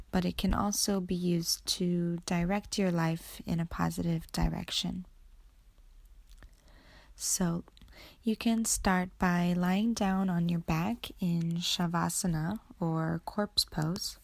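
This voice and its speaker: A woman guiding a meditation in a soothing, unhurried way, with breathy ends to her phrases. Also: lowish voice, a little mellow, no room sound. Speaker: yoga nidra meditation guide. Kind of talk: guided meditation narration.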